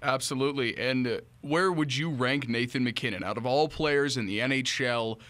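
Bright-voiced young man hosting a podcast. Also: mid-range voice, normal speed. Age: 20s. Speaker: sports podcast host. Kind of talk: interview questioning. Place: small office studio, indoors. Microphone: close studio mic on boom arm.

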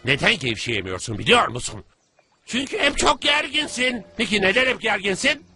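A man's voice: high voice, very animated, normal speed, over music. A man voicing a bossy, giggly animal who plays the healer in a cartoon dub, teasing and theatrical. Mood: cheerful, boastful.

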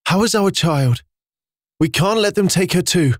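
A man's voice: high voice, lively intonation, measured pace, dry recording, clean studio sound, pitched above the performer's own voice. A man voicing a frightened young mother who pleads breathlessly for her newborn child. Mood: anxious.

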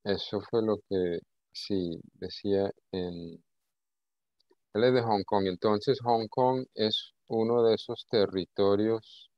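Guy teaching on a video call, deep voice, halting, kinda muffled lo-fi sound. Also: steady tone, no room sound. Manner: halting, repeats words. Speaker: English teacher. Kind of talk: informal online lesson. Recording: dull, lo-fi call audio.

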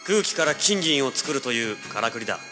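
An actor playing a cocky, tough young treasure hunter, rough-spoken and sardonic, narrating and speaking in a hard-boiled audio drama. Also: male voice, medium pitch, big pitch swings, fairly quick, close to an everyday voice. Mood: defiant. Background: dramatic music.

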